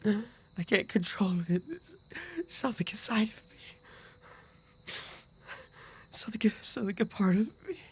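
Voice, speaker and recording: A man playing an obsessive, unstable yandere roommate in an audio roleplay, with an intimate, halting delivery. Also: expressive, exaggerated voice. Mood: anguished, pleading. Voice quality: low, gravelly. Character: obsessive male yandere roommate, soft-spoken, darkly devoted, unstable. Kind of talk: boyfriend ASMR audio roleplay.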